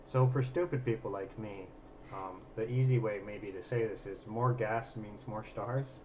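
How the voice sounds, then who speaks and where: A slightly hoarse man hosting a casual live stream, with a self-deprecating, joking manner. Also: on the low side, fairly even intonation, normal speed, darkish tone. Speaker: livestream host and telescope operator. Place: observatory during a live stream. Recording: dull sound with faint background noise.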